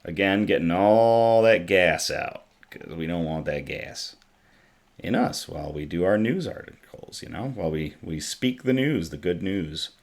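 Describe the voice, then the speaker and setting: A man with a raspy, worn-out voice casually hosting a good-news livestream from his home setup, chatting loosely with many ums and reading out viewer comments. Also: sits low, animated, measured pace. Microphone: desktop USB microphone.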